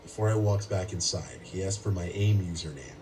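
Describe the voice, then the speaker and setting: Very deep-voiced man narrating horror, distant noisy recording. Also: animated, a little fast, darkish tone. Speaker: scary story narrator. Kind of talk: scripted first-person horror narration. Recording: playback heard from afar, noisy.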